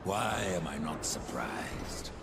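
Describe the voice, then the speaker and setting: An actor playing a cold, wry horseman of the apocalypse in a video game, with a gravelly, dry, menacing delivery. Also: a bass voice, sing-song, slow pace, full character voice. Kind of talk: video game cutscene acting. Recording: noisy game audio with loud background ambience.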